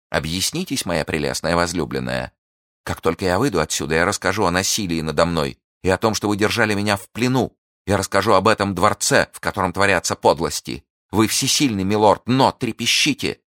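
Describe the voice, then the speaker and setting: A man's voice: very deep voice, fairly bright tone, dry recording, clean studio sound. A man reading an audiobook, voicing a proud, vengeful young woman who speaks in a defiant, declamatory way.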